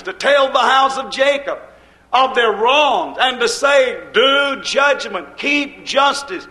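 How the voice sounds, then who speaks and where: A man's voice: very high-pitched, fairly bright tone, echoey room. A man preaching a fiery sermon, hammering points with repetition and a rising cadence.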